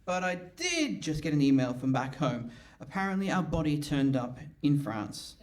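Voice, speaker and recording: A man's voice: fairly high pitch, sing-song, normal speed, noisy background. A man playing the dry, patient son of a detective in a live comic radio play. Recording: slightly distant stage mic.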